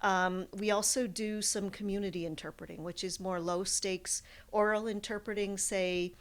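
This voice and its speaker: A woman explaining her field in an interview, in the manner of a college professor, with animated examples. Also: medium pitch, moderate pace.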